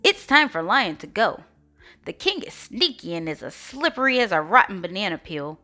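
A woman's voice: mid-range voice, big pitch swings, normal speed, sharp tone. A woman voicing an indignant, rabble-rousing monkey candidate in a storybook, punchy and emphatic. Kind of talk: storybook read-aloud.

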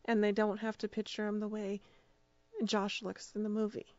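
A woman's voice: mid-range voice, completely flat delivery, moderate pace, darkish tone, no echo, dull audio. A woman speaking as a radio drama producer and director, giving casual answers in an interview.

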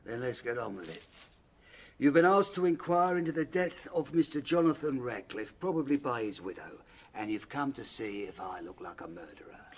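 Proud, irascible English patriarch, radio drama: older, brusque, self-righteous, with swooping intonation. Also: male voice, medium pitch, animated, moderate pace, slightly rough. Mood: defiant, brusque. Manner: brusque, imperious, declamatory. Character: proud, irascible ailing father, self-righteous slum landlord. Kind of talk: British radio drama acting.

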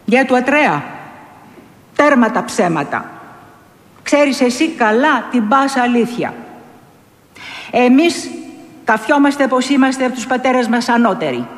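A woman playing a proud, defiant young male warrior captain in a staged epic recitation, boastful and forceful in retort. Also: on the high side, animated, long pauses, pitched above the performer's own voice, natural voice. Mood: indignant, boastful. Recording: echoey hall with long reverb.

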